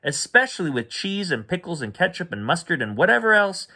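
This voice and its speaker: An excitable little girl from a storybook, acted out by a man, pitched high and swooping. The delivery is eager and gushing, quick to beg and insist. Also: heavily acted. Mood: excited, pleading. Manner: gushing, begging, emphatic repetition.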